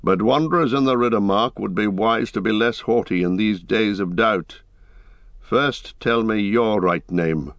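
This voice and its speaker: A man's voice: on the low side, a little slow, a bit bright, dry recording. A stern, haughty horse-lord acted out by a male reader from a fantasy audiobook. He speaks in formal, archaic phrases with a challenging, suspicious edge. The sound is dry and close. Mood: proud, guarded. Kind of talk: dramatic audiobook fantasy reading.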